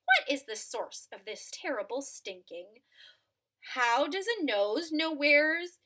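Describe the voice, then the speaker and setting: A woman's voice: sits high, expressive, takes it slowly, pushed and bright, exaggerated voice. A woman reading a storybook, voicing a curious little girl who asks emphatic, inquisitive questions. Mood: wondering.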